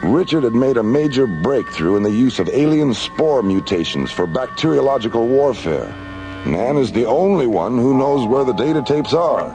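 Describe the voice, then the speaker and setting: Animated, serious space commissioner. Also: male voice, medium pitch, normal speed, over music, low-quality recording. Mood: serious, explanatory. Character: matter-of-fact male space agency commissioner. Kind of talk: science fiction radio drama acting.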